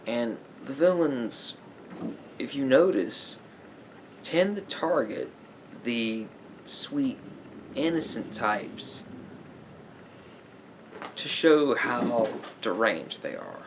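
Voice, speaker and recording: A man speaking softly as a therapist in an ASMR roleplay, in a measured, halting, explanatory way. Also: medium pitch, lively intonation, background noise.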